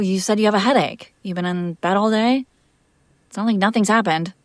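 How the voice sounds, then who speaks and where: A woman's voice: medium pitch, no echo, natural voice. A woman playing a female vampire master, an exasperated but protective caretaker, in a conversational, sardonic audio roleplay. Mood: exasperated, defensive. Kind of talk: intimate audio roleplay acting, one side of a conversation.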